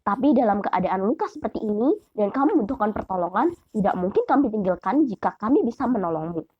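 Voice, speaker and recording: A woman's voice: mid-range voice, animated, fast, slightly rough, no echo, highs cut off. A woman voicing a meek, kind donkey in a children's fable, plain and earnest.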